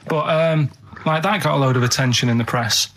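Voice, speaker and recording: A man's voice: fairly high pitch, a little fast, fairly bright tone. A man chatting casually on a comedy radio show, telling stories in a rambling, naive and earnest way. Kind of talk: casual comic radio chat.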